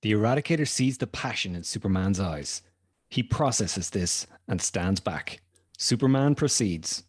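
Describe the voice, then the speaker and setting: Guy narrating a screenplay, raspy, lowish, dry recording, table read. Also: expressive, moderate pace, dry recording. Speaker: stage-direction narrator.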